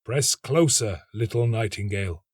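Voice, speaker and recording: A man voicing a talking tree in a storybook, urgent and commanding, with slow, drawn-out calls. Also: lowish voice, breathy edge, dry recording, studio quality.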